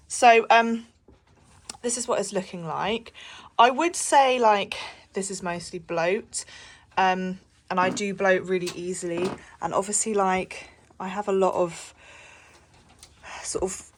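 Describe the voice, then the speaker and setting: A woman's voice: mid-range voice, unhurried. A pregnant young woman, rambling casually with lots of fillers as she talks to the camera at home. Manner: chatty, rambling with many fillers. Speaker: vlogger. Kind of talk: casual diary vlog.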